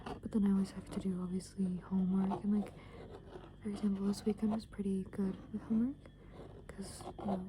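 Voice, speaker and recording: A young adult woman rambles in a breathy ASMR whisper, hesitating and leaning on filler words as she goes. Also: mid-range voice, level tone, fairly quick. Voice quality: breathy, mostly unvoiced whisper. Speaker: ASMR video creator. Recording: clear background noise.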